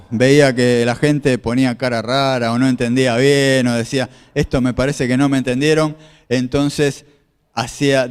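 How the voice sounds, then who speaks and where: A male pastor preaches in a plain middle-pitched voice. He explains passages step by step, repeats himself and asks his audience questions. A long reverb of a hall trails each phrase. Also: a little mellow, clean tone. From Argentina. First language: Spanish. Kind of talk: sermon with slides.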